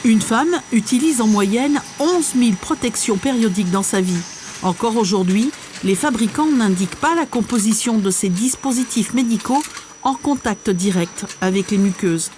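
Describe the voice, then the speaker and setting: A woman narrating a scripted TV news report in a measured, informative manner. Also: on the high side, lively intonation, darkish tone, a little hiss or hum.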